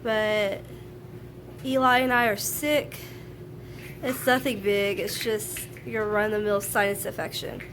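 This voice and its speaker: A woman's voice: medium pitch, fairly even intonation, a little slow, a bit bright, a little hiss or hum. A woman who sounds nasal from a sinus infection, talking frankly and chattily to camera about her views at home. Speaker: vlogger. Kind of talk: casual talk to camera. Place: at home, laundry running.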